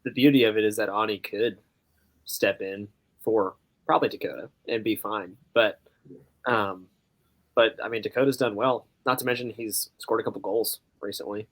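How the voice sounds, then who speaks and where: A man's voice: on the low side, steady tone, normal speed. A man co-hosting a sports podcast, chatting casually about the game. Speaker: podcast co-host.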